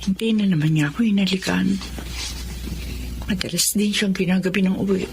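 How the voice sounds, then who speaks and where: A woman's voice: mid-range voice, steady tone, brisk, gravelly, lightly acted. An actress playing a suspicious, easily hurt middle-aged wife and mother in a Tagalog radio drama. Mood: hurt, worried. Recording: background noise and ambience.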